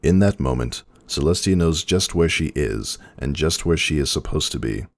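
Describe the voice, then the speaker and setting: Very deep, gravelly male narrator. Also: expressive, no room sound, clean studio sound. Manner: measured, solemn. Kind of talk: dramatic storybook narration.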